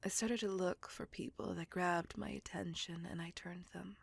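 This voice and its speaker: A woman playing a seductive, possessive, coldly vengeful vampire in a slow, intimate, breathy whispered ASMR roleplay. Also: fairly low pitch, steady tone, dry recording. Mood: wistful, brooding. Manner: slow, intimate, deliberate, savouring words.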